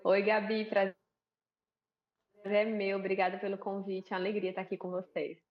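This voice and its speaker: A woman with a Northeastern Brazilian accent speaks as an interview guest, relaxed and chatty, often tossing in little tag questions. Her voice sits at a middling pitch. The audio is a bit dull and lo-fi. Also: brisk. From Northeast Brazil, Alagoas. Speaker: guest, missionary and pastor's wife. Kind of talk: conversational TV interview.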